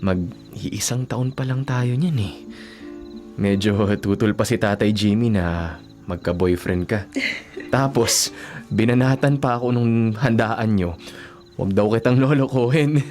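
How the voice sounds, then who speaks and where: A man playing a devoted young working-student boyfriend in a Filipino radio drama, speaking gently, earnestly and reassuringly. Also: lowish voice, a little slow, faint music behind, lightly acted. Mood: fond, nostalgic. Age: young adult.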